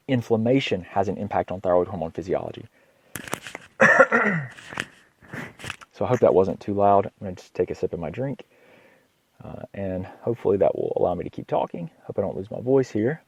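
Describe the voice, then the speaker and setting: A slightly hoarse man with a cold hosts an informal health podcast as a doctor, speaking haltingly, repeating words and often clearing his throat. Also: lowish voice, darkish tone, dull audio, slight background noise. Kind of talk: informal educational health monologue.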